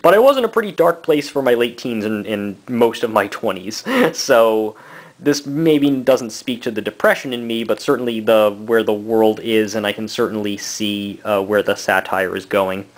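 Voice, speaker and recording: A man in his thirties giving a casual, rambling review, halting often with fillers and short laughs. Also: on the low side, rough recording. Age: 30s. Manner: laughs between thoughts. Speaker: book and comic reviewer.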